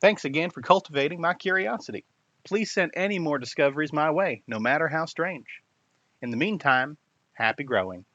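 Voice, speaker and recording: A man's voice: a little high, expressive, a little fast, a bit bright, no room sound. Eccentric academic gent, acted by a man, eager and precise, melodramatic intonation, dry lo-fi memo sound for audio drama. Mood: eager, encouraging. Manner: theatrical, swooping, precise academic delivery. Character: Doctor Perry Wrinkle, eccentric, enthusiastic male soil science professor.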